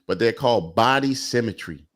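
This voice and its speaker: A man's voice: medium pitch, animated, moderate pace. A man in his forties hosting a casual talk show, laid-back and rambling with plenty of fillers. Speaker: host. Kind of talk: casual podcast chat. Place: Atlanta.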